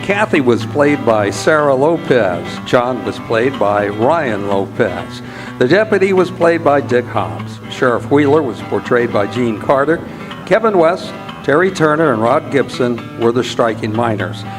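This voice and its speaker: A man's voice: mid-range voice, animated, a little slow, rough recording. A man announcing as host and director of a radio play, reading from a script. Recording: music behind the voice.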